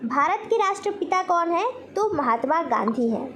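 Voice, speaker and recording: Indian lady teacher, really high voice, doing question and answer exam lesson, bit of background noise. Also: lively intonation, moderate pace, bright, forceful tone. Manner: instructional, asks each question then answers it, repeats key points. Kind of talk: general knowledge quiz lesson for exam students.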